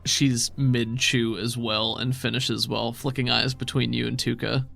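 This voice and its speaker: A man's voice: mid-range voice, level tone, moderate pace, vocal fry, fairly bright tone. A man narrating a tabletop game as game master in a casual style.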